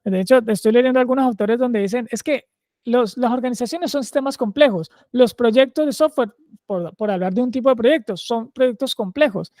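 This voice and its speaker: A man's voice: extremely high pitch, normal speed, a little mellow, dry recording, studio quality. A man with a Caleño Colombian accent, a podcast guest, explaining ideas conversationally and often checking in with his listener. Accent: Caleño, Cali Colombian Spanish. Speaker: guest, agile culture consultant.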